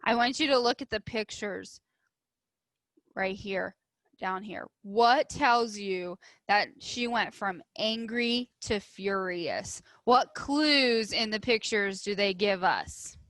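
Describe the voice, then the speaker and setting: A woman teacher reading a storybook aloud expressively to children, emphasizing words and pausing to instruct and put questions to them. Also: mid-range voice, expressive, fairly bright tone, no room sound. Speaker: elementary school teacher.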